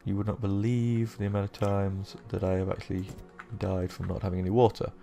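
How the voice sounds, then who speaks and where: Croaky low-voiced man narrating gameplay. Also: little pitch movement, a little fast, mellow, soft background music. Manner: rambling, self-deprecating, with many fillers. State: croaky from a sore throat. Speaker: gaming YouTuber. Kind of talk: casual let's-play commentary.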